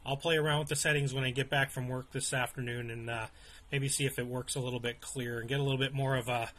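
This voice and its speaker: A man's voice: mid-range voice, flat delivery, fast, a bit bright. A man talking casually as an amateur radio operator, polite and appreciative. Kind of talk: casual radio contact chat.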